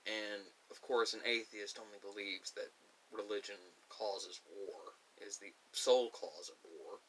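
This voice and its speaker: An American man giving a rambling monologue to camera as a video host, often pausing mid-sentence in a halting way. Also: fairly low pitch, fairly even intonation, a bit bright. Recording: hissy, distant microphone.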